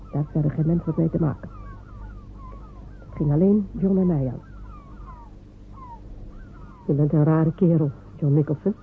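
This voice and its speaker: A woman's voice: low voice, measured pace, long pauses. A woman playing a proud, loyal fisherman's wife who is waiting for her husband, speaking firmly in a radio play. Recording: narrow phone-like radio recording with faint hiss.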